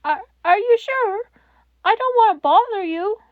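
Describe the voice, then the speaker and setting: A woman's voice: extremely high pitch, expressive, a little slow, no echo, phone-quality sound, pitched above the performer's own voice. A woman voicing a small, timid, scared child with a cracked, hesitant voice, stammering through a hotline call in a story.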